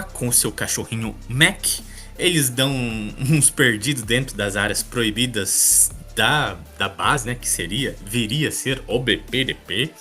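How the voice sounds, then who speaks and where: Casual Brazilian man hosting over soft music. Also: mid-range voice. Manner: colloquial, amused. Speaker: podcast host. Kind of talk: casual comics commentary.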